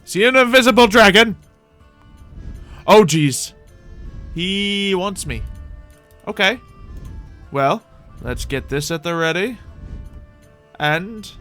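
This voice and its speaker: Bright-voiced man doing game commentary over music. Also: sits high, slow, long pauses. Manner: animated, thinking aloud with playful exclamations. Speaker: gaming YouTuber playing a Minecraft roleplay series. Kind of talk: gameplay commentary. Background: background music.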